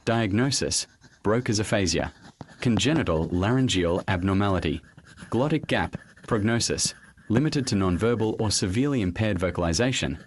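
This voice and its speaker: A man acts out a clinical male doctor in an audio drama, dictating notes in a lowish voice. He is clipped and detached, with clear noise, clicks and scribbling behind him. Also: close to an everyday voice.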